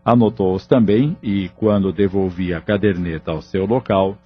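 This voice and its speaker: A man's voice: low voice, moderate pace, breathy edge. A man reading scripted narration for an audio novel as its narrator. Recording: muffled lo-fi audio, background music.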